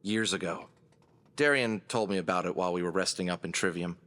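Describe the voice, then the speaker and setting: A man playing an earnest adventurer who leads a gang through a grim crisis, briefing them seriously and deliberately in a fantasy audio drama. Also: low voice, fairly bright tone. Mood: firm, earnest.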